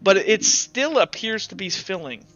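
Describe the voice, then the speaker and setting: A man's voice: high voice, expressive, measured pace, sharp tone, muffled recording. A man working as game master, narrating a tabletop roleplaying game in a casual, sometimes profane way.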